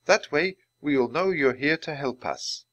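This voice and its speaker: A man's voice: fairly high pitch, lively intonation, fairly bright tone, no echo, voice pushed up. Man doing a formal young woman scientist. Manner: formal, measured, instructive.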